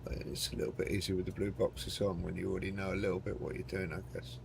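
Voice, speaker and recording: A man with a gruff, fry-laden voice narrates his own gameplay in a loose, joking way, swearing now and then. He mutters to himself and speaks to his chat. Loud aircraft engine noise roars under his voice. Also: low voice, level tone, fast talker. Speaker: game streamer. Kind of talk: casual live commentary while playing a flight simulator.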